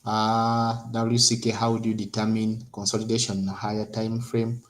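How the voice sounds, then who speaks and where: A man's voice: on the low side, completely flat delivery, normal speed. A man, a trader who reads out audience questions and adds brief acknowledgements. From Uganda.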